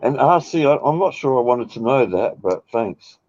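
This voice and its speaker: A man hosting a casual voice chat, easygoing and quick to laugh. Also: medium pitch, expressive, darkish tone, dry recording, lo-fi sound.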